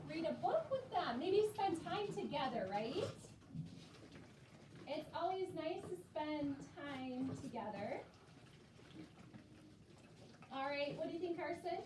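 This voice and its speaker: A woman's voice: sits high, animated, unhurried. A woman primary school teacher leading a classroom discussion with young children, encouraging them and repeating their answers back. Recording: echoey room, distant mic, clear background noise.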